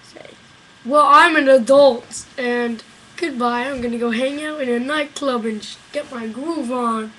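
A woman's voice: high voice, measured pace, fairly bright tone, voice pushed down, low-quality recording. A woman voicing a blunt, dismissive monkey policeman puppet in a homemade webcam puppet show from a small bedroom.

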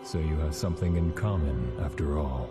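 A man playing a calm, wise god of animals in an animated fairy tale, speaking in slow, solemn pronouncements. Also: very deep voice. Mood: calm, judging. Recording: music loud behind the voice. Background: loud music.

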